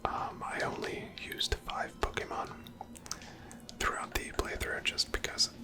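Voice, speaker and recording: This is a breathy, fully whispered voice with almost no tone in it. The speaker narrates their own video game playthrough in ASMR style, gently musing aloud in an unhurried, conversational way. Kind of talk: whispered ASMR gameplay commentary.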